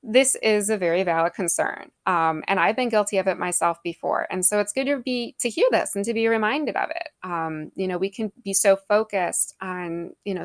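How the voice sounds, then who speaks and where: A woman's voice: mid-range voice, dry recording, clean studio sound. A young adult woman giving a faith reflection in an earnest, conversational, thoughtful way. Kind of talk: devotional talk.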